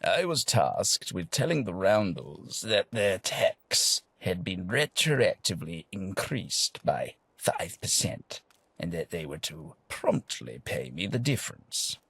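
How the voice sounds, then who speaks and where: Fussy genteel tax collector, video game companion, formal wording, unhurried pace, slightly rough-edged voice. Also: male voice, deep voice, big pitch swings, fairly bright tone, dry recording, full character voice. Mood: polite, weary. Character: fussy, posh, polite gentleman tax collector, a hapless companion. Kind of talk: video game character dialogue.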